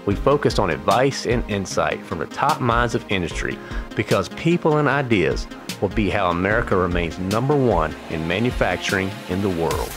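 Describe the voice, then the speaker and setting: A man's voice: on the low side, animated, normal speed, over music. A man hosting a podcast, introducing the show and chatting casually with his guest. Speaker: podcast host.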